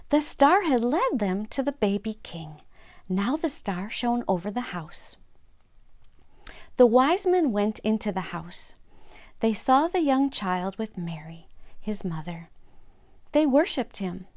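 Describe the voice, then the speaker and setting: Slow-speaking older woman telling Bible stories. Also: fairly high pitch, a little mellow. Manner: simple short sentences, explaining to young listeners. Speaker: storyteller and host of a children's story program. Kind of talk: Bible storytelling for children.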